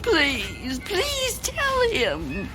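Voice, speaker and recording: Frightened, pleading old woman, widely swinging pitch. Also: huge pitch swings, drawn-out words, over music, exaggerated voice. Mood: desperate pleading. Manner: stammering, breathy pleading, emotional. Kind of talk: radio drama acting.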